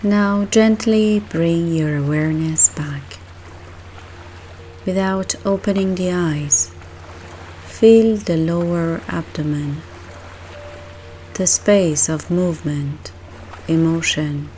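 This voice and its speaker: A woman leading a guided meditation in a soft, gentle, measured way, leaving long pauses between phrases. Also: fairly low pitch, a little hiss or hum.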